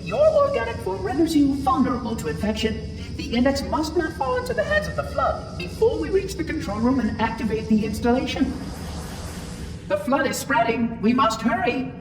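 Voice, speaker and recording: A man's voice: extremely high pitch, very animated, moderate pace, reverberant, recorded from a distance, background noise, full character voice. A man voicing a chirpy, officious robot monitor in a video game, formal and precise, with robotic processing. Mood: officious, urgent. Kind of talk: video game cutscene acting.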